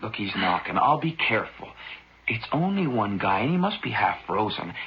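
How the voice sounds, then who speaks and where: An actor playing an uneasy, stubbornly curious husband in a horror audio drama, muttering in a hushed, halting way. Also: male voice, fairly low pitch, very animated. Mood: insistent, reassuring. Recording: muffled, lo-fi, heavy background noise.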